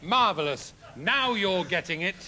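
A middle-aged straight man on a theatre stage, explaining things in a high voice with patient, schoolmasterly bossiness. The sound is live, with clear noise from the house behind him. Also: lively intonation, unhurried. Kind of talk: stage comedy double act. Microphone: stand mic and boom.

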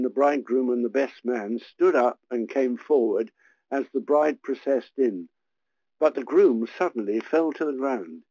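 A man's voice: medium pitch, dry recording, highs cut off. Older man, retired priest telling stories. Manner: gentle, anecdotal and relaxed. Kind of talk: reflective storytelling.

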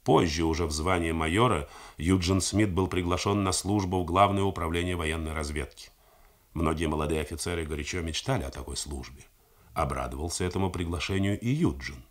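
A man narrating a novel in a measured, theatrical reading style for a 1980s radio broadcast. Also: low voice. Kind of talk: scripted literary narration.

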